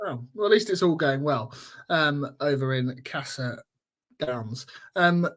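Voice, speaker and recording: A chatty man with a somewhat high voice hosts a relaxed podcast chat. He keeps the talk moving by putting questions to the others on the panel. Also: unhurried. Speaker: podcast host. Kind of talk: casual podcast discussion.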